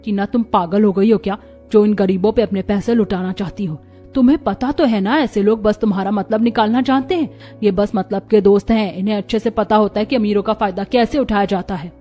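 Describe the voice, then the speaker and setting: A woman's voice: mid-range voice, fairly quick, mellow, soft background music, natural voice. A woman playing a haughty rich mother in a cartoon story, scolding and contemptuous. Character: haughty rich mother, scornful of poor people.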